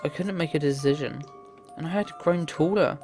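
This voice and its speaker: A man's voice: fairly high pitch, moderate pace, darkish tone. A man reading visual novel text aloud in an earnest, theatrical way as a game playthrough host. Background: game music.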